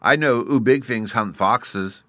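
A man's voice: medium pitch, unhurried, bright, forceful tone, dry recording, studio quality, pitched above the performer's own voice. A man voicing a tiny, earnest and proud boy fairy from a storybook, scornful at times, in childish baby talk with mispronounced words. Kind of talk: storybook character reading.

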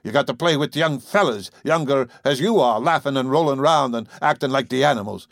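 A man reading an audiobook, voicing a gruff, bullying old farmer who whines and mangles his consonants in a rough rural dialect. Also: mid-range voice, animated, brisk, fairly bright tone, no room sound, clean studio sound, pitched above the performer's own voice. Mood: furious.

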